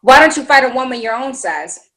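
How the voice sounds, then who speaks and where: Woman as a sassy, high-voiced young fighter. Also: animated, fairly quick, fairly bright tone. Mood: taunting. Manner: sassy, taunting. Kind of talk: table read of a fantasy screenplay.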